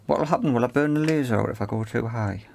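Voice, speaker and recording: A man's voice: mid-range voice, fast talker, creaky, darkish tone. A man giving hands-on repair commentary, thinking aloud in a tentative way as he works. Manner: tentative, talks to himself while working. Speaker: repair video presenter.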